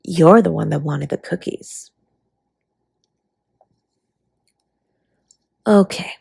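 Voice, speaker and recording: A woman playing a flirty, affectionate dragon baker spouse in a romantic roleplay audio, with a breathy, husky, teasing delivery. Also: sits low, moderate pace, darkish tone, no room sound, studio quality, exaggerated voice. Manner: teasing, intimate, often slipping into breathy whispers.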